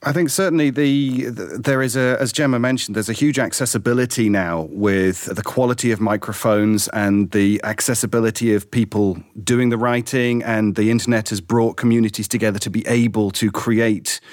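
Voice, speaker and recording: A man's voice: on the low side, steady tone, moderate pace, hardly any pauses, no echo. A middle-aged man, a voice actor, talking thoughtfully in a studio interview. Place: studio, several people in one room.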